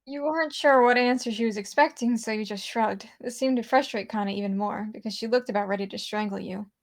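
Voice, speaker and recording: A woman's voice: on the high side, brisk. A woman casually narrating and commenting on a visual novel, informal and prone to giggling.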